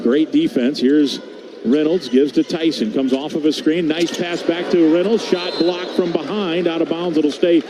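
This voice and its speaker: A man calling live basketball play-by-play on the radio, over arena noise. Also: fairly high pitch. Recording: noisy broadcast with arena ambience.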